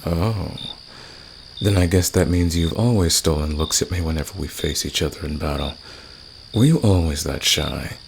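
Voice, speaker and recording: ASMR roleplay villain, male performer: very low, creaky voice, smug, probing and flirtatious. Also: noisy background. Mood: flirtatious, challenging. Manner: teasing, probing, drawn-out pauses. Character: flirtatious, teasing male fantasy villain, smugly confident. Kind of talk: ASMR roleplay, intimate scripted monologue.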